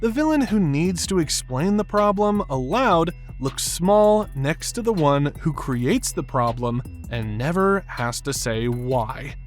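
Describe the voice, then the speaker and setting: A man's voice: a little high, sing-song, slow, soft background music. A man narrating a scripted film analysis in an emphatic, opinionated manner. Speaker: video essay narrator.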